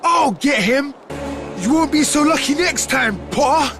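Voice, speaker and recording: A man's voice: very high voice, expressive, measured pace, over music, full character voice. A man voicing a sneering, aggressive teenage schoolboy wizard bully in a video game. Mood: threatening.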